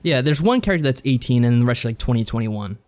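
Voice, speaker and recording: Measured man co-hosting, mid-pitched voice. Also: animated, a little mellow. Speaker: podcast co-host. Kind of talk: casual visual novel review chat.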